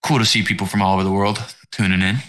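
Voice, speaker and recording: A man hosts a livestream, greeting viewers and asking the guest follow-up questions. He talks very fast. His voice is bright and forceful. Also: sits low, level tone. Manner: friendly, quick, asks follow-up questions. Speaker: stream host. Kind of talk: casual livestream hosting.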